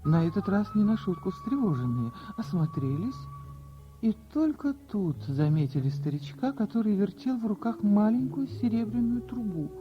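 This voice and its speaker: A woman narrating a fairy tale for radio in a theatrical, expressive way, with dramatic pauses. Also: medium pitch. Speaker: storyteller, actor. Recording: dull radio recording with music behind. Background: music.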